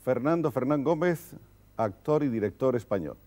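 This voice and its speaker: A man's voice: mid-range voice, expressive, moderate pace, dark tone. A man hosting a formal television interview, introducing his guest and prompting him with courteous questions. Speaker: interviewer host.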